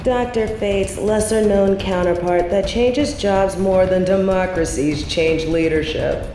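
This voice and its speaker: A woman's voice: mid-range voice, a little slow, mellow, reverberant, faint music behind, lightly acted. A woman playing a smug, bored sorceress turned journalist in an audio drama, with a sardonic, condescending way of talking. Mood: haughty, weary. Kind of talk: audio roleplay drama acting.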